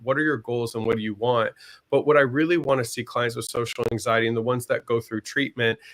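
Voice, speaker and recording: Co-host type, man, mid-pitched and earnest, chatty on a video call. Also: fairly bright tone. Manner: earnest, talkative, open about personal experience. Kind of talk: casual live-stream chat.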